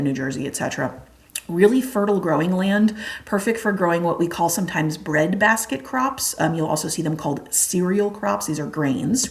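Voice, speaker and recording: Low-pitched young woman, chatty teacher giving an exam review, filler-heavy and casual. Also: creaky. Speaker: history teacher.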